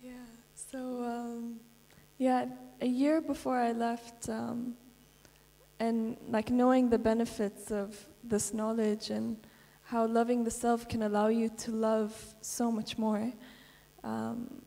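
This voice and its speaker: A young woman from Kuwait, soft-spoken and unhurried, talks with many fillers. Her voice sits a little high but stays flat and dark in tone. She is heard through the long reverb of a large conference hall. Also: vocal fry. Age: young adult. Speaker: conference speaker and stretch guide. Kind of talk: conference interview and guided stretching.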